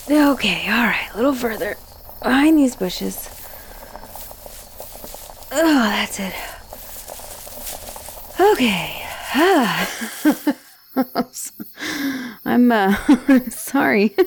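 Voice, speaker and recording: Woman acting a slow-paced obsessive lovestruck girlfriend. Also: mid-range voice, slight background noise. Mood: straining, directing, then sheepish, amused. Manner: breathy sighs, teasing, drops into soft whispers. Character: obsessive lovestruck young woman, clingy girlfriend escaped from asylum. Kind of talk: intimate one-sided audio roleplay drama.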